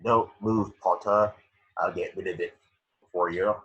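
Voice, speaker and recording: A man reading the part of a cold, sardonic professor in a script read, measured and disdainful. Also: low-pitched, steady tone, sharp tone, breathy edge, dull audio, exaggerated voice. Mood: smooth menace. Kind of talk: online script table read.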